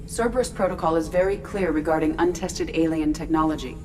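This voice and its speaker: An actress voicing a calm artificial intelligence aboard a starship in a video game, clinical, precise and formal. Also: female voice, deep voice, brisk, natural voice. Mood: formal, unruffled. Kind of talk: video game character acting. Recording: clear background noise, ship-like ambience.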